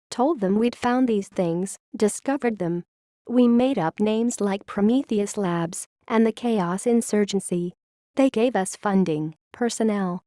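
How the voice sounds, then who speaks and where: A woman playing a wistful, grave founding scientist who confesses a secret history in a measured, literary audio-log monologue. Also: medium pitch, warm tone, no echo, clean studio sound, natural voice. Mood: confessional, uneasy.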